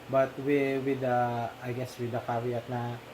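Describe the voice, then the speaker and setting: A man with a Filipino accent chatting casually about a film, switching between Tagalog and English with many pauses and fillers. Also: medium pitch, little pitch movement. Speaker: podcast co-host. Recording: noisy home recording.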